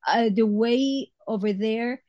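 A woman from Ecuador with an Ecuadorian Spanish accent, a Spanish teacher and guest, chatting in an animated way with laughs and restarts. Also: a little high, slow pace, highs cut off. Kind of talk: casual interview talk.

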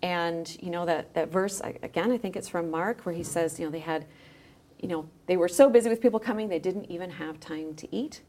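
A woman chatting in a casual discussion, speaking in a halting, thoughtful way as she works through examples. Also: lowish voice, a little mellow. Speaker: podcast guest, journal editor.

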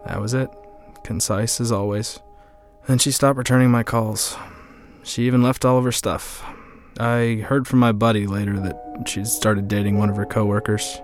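A man's voice: fairly low pitch, little pitch movement, normal speed, natural voice. Man playing a wry, lonely ex-boyfriend. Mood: lonely, subdued. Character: wry lonely heartbroken ex-boyfriend telling his story. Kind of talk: first-person narration in an audio drama. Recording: music under the voice.

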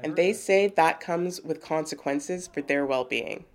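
A woman reporter reads a scripted news report. Her voice sits a little low, and her delivery is measured and clear.

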